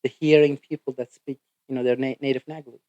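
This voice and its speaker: Mellow-voiced Turkish-accented man, halting. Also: mid-range voice, little pitch movement, no room sound, dull audio. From Turkey. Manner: halting, full of "you know" fillers. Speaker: physical therapist guest. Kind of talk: podcast interview answers.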